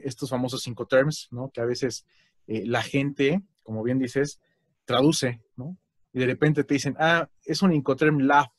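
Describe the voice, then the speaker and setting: A man's voice: medium pitch, no echo. A man with a Mexican Spanish accent hosting a casual conversation, chatty, with frequent fillers and tag questions. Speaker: host.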